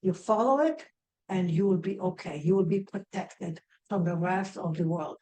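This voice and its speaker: A woman's voice: on the low side, normal speed, warm tone. An older woman from Israel with an Israeli accent, giving a lecture and explaining her points step by step, often restarting her sentences. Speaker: astrology lecturer.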